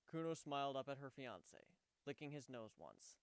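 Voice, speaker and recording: A man's voice: mid-range voice, sing-song, normal speed, smooth, no room sound. A man narrating a scripted story as a dramatic reading.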